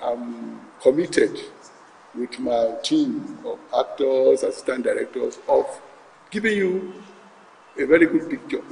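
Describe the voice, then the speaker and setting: A man making a formal statement in deliberate, emphatic phrases. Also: medium pitch, vocal fry, darkish tone. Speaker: stage director. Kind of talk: formal pledge at a press event. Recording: echoey hall, distant mic, faint noise.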